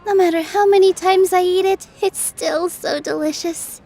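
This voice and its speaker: A woman's voice: very high voice, steady tone, moderate pace, dark tone. A woman playing a kind, modest young dancer in an anime video game, speaking politely and earnestly. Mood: grateful. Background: music.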